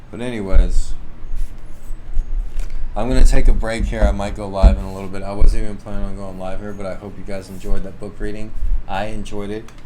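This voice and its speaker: A man hosting a livestream, talking in a casual, rambling, self-assured way. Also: fairly low pitch. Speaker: livestream host and author. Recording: clearly noisy live stream.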